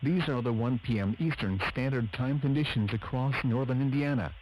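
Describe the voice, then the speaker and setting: A man's voice: medium pitch, a little fast. Formal male weather radio announcer, noisy broadcast.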